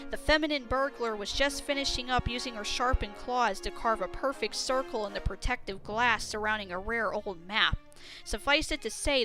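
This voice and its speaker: Bright-voiced woman narrating a story. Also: fairly high pitch. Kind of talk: scripted dramatic story narration.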